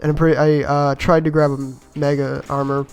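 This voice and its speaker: A man with a somewhat high voice, a little raspy from a cold, talks through his gameplay in a loose, rambling way. He pokes fun at his own blunders as he goes, and faint game music plays behind him. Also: little pitch movement, brisk, darkish tone. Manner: easygoing, rambling, self-mocking with chuckles. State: slightly raspy from being sick. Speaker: gameplay commentator. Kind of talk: casual voice-over commentary on a game run.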